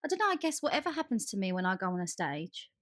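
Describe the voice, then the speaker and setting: A woman's voice: mid-range voice, sing-song, fast talker, a bit bright, no room sound, studio quality. A woman co-hosting a podcast in casual chat, speaking informally and with emphasis. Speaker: podcast co-host.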